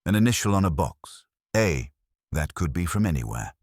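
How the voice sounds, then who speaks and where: A man's voice: deep voice, very animated, normal speed, no echo, clean studio sound. A man voicing an agreeable, dutiful junior policeman who reports drolly and matter-of-factly in a mystery story. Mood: dutiful, droll.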